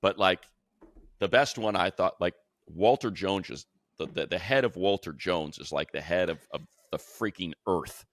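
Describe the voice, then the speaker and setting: Deep, gravelly man talking sports. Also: moderate pace, a bit bright, no room sound, studio quality. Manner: conversational, enthusiastic, colloquial. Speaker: sports show host and football play-by-play announcer. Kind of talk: casual sports talk, animated storytelling.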